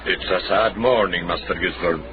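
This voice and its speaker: A man acting a brawny, loyal Scottish Highlander in a period radio drama. He talks in a measured, courteous, plain-spoken way. The sound is muffled, with clear background noise. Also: natural voice. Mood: grave. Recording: dull, noisy old-radio style recording.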